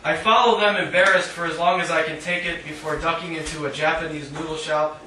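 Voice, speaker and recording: A man giving a live poetry reading in a measured, declamatory way with dry humour, adding short spoken asides. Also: on the high side, fairly even intonation, pushed and bright. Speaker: poet reading own work. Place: indoor literary cafe reading. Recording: echoey live room, distant mic, faint noise.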